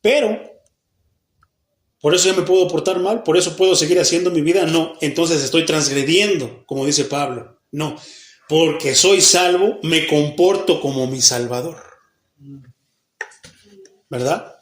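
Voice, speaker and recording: A man's voice: fairly high pitch, measured pace, long pauses. A Mexican man, a pastor with a Mexican Spanish accent, giving a Bible study sermon in a church hall. He keeps putting questions to the congregation and answering them himself. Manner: acts out the people he quotes, even mock babbling.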